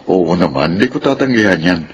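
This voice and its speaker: An actor playing a caring middle-aged father in a Filipino radio drama, speaking in a measured, earnest way. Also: low-pitched, animated, lo-fi sound. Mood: friendly, pleased. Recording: clearly noisy radio drama track.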